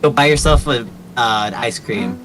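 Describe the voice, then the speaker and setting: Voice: medium pitch, normal speed, a crowd in the background, poor audio. A guest on a game stream chatting casually with dry, sarcastic teasing. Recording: loud game noise behind. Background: loud car game sounds.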